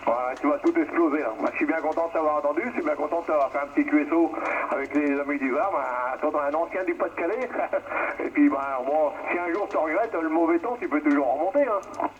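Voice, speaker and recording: A man's voice: fairly high pitch, fairly quick. A man chatting casually over amateur radio, in a muffled, noisy transmission. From northern France. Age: 60s.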